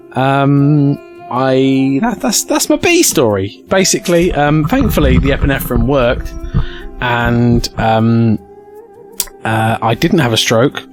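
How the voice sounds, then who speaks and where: A British man streaming to a live chat, telling casual comedic stories with crude, self-mocking humour and acting out the dialogue. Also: mid-range voice. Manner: acts out dialogue with excited outbursts. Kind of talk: animated casual comedic storytelling.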